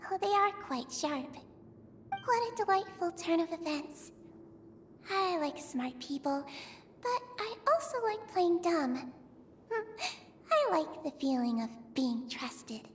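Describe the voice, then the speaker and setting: A woman playing a composed, sly female schemer in a video game, measured, teasing and condescending. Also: very high-pitched, big pitch swings, big-room sound, a little hiss or hum, full character voice. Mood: amused, calculating.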